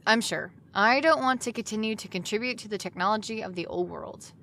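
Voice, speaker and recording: A woman's voice: medium pitch, a little fast, pushed and bright. An actress playing an eager, determined woman joining a utopian organization in a sci-fi audio drama. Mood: resolved, eager.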